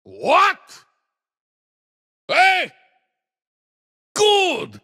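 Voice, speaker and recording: A big alien mad scientist from a racing game, boastful and theatrical in a thick Russian accent. The voice is high and wildly swooping, with a gravelly rasp. His clipped exclamations trail into a long reverb. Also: wildly swooping pitch, extremely slow, bright, forceful tone, heavily acted. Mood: indignant, excited. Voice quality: gravelly, raspy. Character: big blustery alien mad scientist kart racer, male. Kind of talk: exclamatory video game character voice clips.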